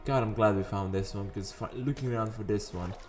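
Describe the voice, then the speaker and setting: Man with a raspy morning voice. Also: lowish voice, fairly quick. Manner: chatty, rambling, wanders into sidetracks. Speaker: gaming video host. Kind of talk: casual gameplay commentary. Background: faint background music.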